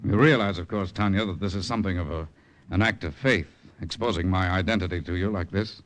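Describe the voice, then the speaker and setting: An actor playing a calm American undercover agent in 1940s radio style, measured, confiding and reassuring. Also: male voice, low voice, lightly acted. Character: calm, steady American undercover spy, trusting and persuasive.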